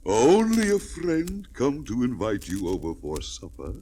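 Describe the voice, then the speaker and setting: An actor playing a gloating, menacing big bad wolf in a theatrical, taunting way on a 1960s children's story record. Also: male voice, mid-range voice, wildly swooping pitch, a little slow, dark tone. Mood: sly, gloating. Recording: 1960s record with faint noise.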